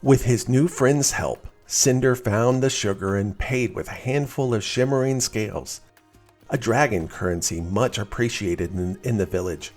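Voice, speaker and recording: A man reading a scripted children's story as narrator, in an expressive storytelling style. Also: fairly low pitch, measured pace, faint music behind.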